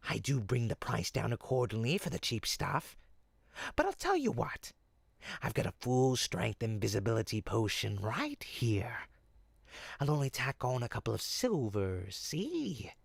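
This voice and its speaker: A performer voicing a sly, smooth-talking goblin potion peddler in an audio roleplay, with folksy, teasing salesman patter. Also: mid-range voice, big pitch swings, unhurried, no echo, heavily acted. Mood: teasing, wheedling. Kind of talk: audio roleplay, in-character monologue to listener.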